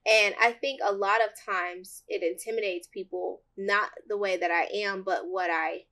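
A young woman in casual chat, speaking earnestly and thoughtfully. Her voice is loud and projected. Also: medium pitch, steady tone, normal speed. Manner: earnest, reflective. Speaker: podcast co-host. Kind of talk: casual chat about dating.

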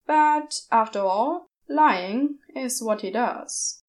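A woman reading a story aloud as narrator, measured and steady. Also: on the high side. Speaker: podfic narrator.

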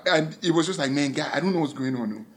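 A man's voice: fairly high pitch, fast. A man co-hosting a podcast, chatting casually and jokingly with a friend. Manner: playful, joking. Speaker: podcast co-host.

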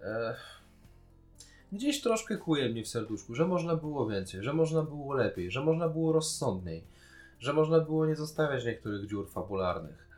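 A man's voice: mid-range voice, very animated, moderate pace, a little mellow, soft background music. A man co-hosting a podcast, casually talking through and arguing his point of view. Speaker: podcast co-host reviewing a TV series. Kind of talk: casual discussion.

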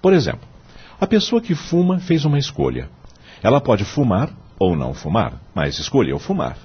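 Kindly didactic mentor, Brazilian accent, very raspy, animated delivery, rhetorical questions, dull lo-fi radio drama recording. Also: male voice, medium pitch, normal speed. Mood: earnest, didactic. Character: wise, kindly male law professor, fatherly mentor.

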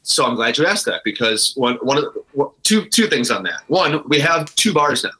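A man's voice: mid-range voice, sing-song, moderate pace, pushed and bright, rough recording. A man talking casually and enthusiastically as an interview guest, emphatic and free with swearing. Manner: self-described nerdy. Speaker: guest, brewery co-owner.

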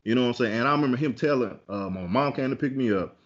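A man's voice: medium pitch, fast, lo-fi sound. A man telling a personal story in a casual podcast chat, candid and conversational. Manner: candid, conversational, with many fillers and restarts.

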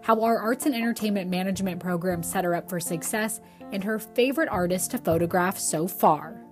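A woman's voice: mid-range voice, fairly quick. A woman hosting a podcast, reading an introduction and then asking interview questions in an upbeat way. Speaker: podcast host. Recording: faint music behind.

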